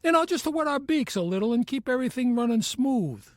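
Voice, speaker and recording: An actor as a sly, animated old mobster. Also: male voice, very high-pitched, quick pace, dry recording, clean studio sound. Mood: sly, persuasive. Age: older. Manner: sly, chummy wise guy patter. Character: wheedling old retired mobster, male, pitching shady deals. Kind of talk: comedic audio drama dialogue.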